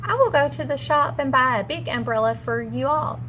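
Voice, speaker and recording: A woman's voice: high-pitched, normal speed, bright, forceful tone, a little hiss or hum. Woman reading as a kindly grandpa grizzly bear. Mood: concerned, kindly.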